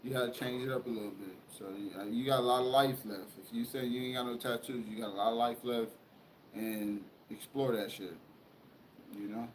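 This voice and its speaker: A man's voice: medium pitch, fairly even intonation, a little fast, a little hiss or hum, low-quality recording. A young man hosting a casual chat, talking loosely and profanely with lots of rambling. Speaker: podcast host.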